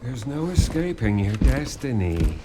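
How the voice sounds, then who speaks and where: A man's voice: medium pitch, big pitch swings, measured pace, very noisy. An actor playing a menacing, taunting male villain in a video game cutscene.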